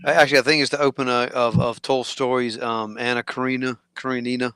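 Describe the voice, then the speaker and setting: Bright-voiced man in casual chat. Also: medium pitch, level tone, fast, smooth, dry recording, soft background music. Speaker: podcast guest.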